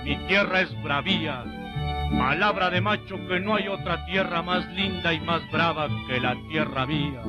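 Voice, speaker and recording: A man's voice: a little high, highs cut off, over loud music, lightly acted. Name: a swaggering charro as a man sings him. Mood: proud. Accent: Mexican. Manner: swaggering, drawn-out sung lines. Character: proud boastful Mexican charro man singing. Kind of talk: ranchera singing. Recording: old film soundtrack under loud orchestra.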